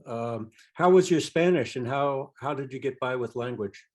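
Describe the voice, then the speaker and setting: Guy asking questions on a zoom call, kinda halting, normal pitch. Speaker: audience member asking questions. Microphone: video call.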